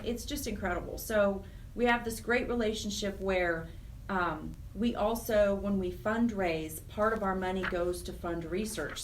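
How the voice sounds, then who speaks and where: An American woman explaining things earnestly and emphatically as a guest in a radio interview. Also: medium pitch. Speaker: interview guest, program director of a nonprofit chapter. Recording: distant mic with faint noise.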